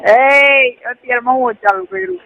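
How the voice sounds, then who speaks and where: A woman's voice: high-pitched, expressive, normal speed, creaky, bright, forceful tone. A young adult woman, chatting casually and intimately as a caller on a recorded phone call.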